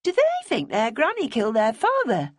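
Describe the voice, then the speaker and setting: A blunt, curious young woman, voiced by a woman narrator asks pointed questions in a raised, direct tone. The breathy voice is recorded dry and close. It comes across as plainly probing. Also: a little high, very animated, unhurried, dry recording, pitched above the performer's own voice, exaggerated voice.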